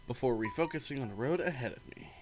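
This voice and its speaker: A man's voice: mid-range voice, expressive, quick pace, darkish tone. A man streaming a game, reading its narration aloud expressively, sometimes stumbling and breaking into casual asides.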